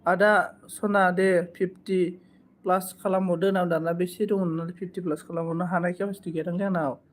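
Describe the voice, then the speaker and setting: Man, high pitch, monotone delivery, casual live stream host, repetitive thanks. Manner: switches between Bodo, Hindi and English phrases; repetitive thanks and requests for support.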